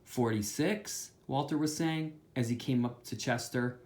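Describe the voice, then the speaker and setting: A pastor reading a children's book aloud, with expressive storytelling. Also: male voice, medium pitch, normal speed.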